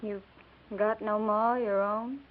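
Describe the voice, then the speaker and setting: A woman's voice: mid-range voice, fairly even intonation, takes it slowly, close to an everyday voice. Wistful frontier wife on old radio acted by a woman. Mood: wistful, forgiving. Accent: American. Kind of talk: 1950s radio western acting. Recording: narrow-band 1950s radio recording.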